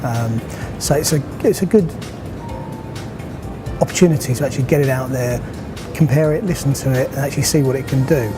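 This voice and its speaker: A man's voice: medium pitch, a little fast. A man in an informal interview, explaining things as an exhibitor in short, repetitive phrases. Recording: music behind the voice.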